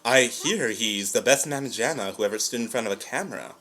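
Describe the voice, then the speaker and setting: A man hosting a reaction video, excitable and quick to gasp and laugh. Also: mid-range voice. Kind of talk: reacting to an audio drama.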